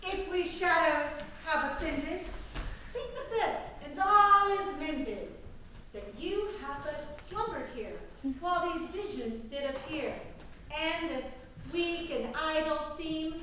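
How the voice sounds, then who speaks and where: A woman playing a mischievous fairy in an amateur staged Shakespeare reading, delivering verse in a measured, declamatory way. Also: high-pitched, lively intonation, pushed and bright, full character voice. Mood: conciliatory. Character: mischievous fairy Puck giving a verse epilogue. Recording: echoey room from far off, faint noise.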